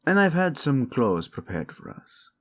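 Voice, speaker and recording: A man acts out a devoted prince, protective and tender with his beloved. His voice is husky and moves slowly, with wide, expressive swings in pitch. The phrasing is formal yet intimate, sprinkled with Spanish endearments, in the style of a romantic audio drama. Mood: playful, warm. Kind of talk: romantic audio roleplay acting.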